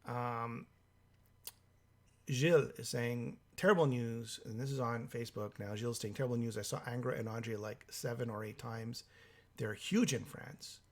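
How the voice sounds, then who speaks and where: A hoarse man with a cold hosts a live stream, reading out viewer comments in a halting way with many fillers. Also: mid-range voice. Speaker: livestream host.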